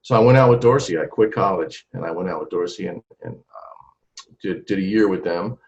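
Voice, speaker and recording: Low gravelly-voiced man telling stories. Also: normal speed. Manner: animated, many restarts and repeated words. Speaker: trumpeter and singer, interview guest. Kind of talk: casual interview storytelling. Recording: dull lo-fi sound.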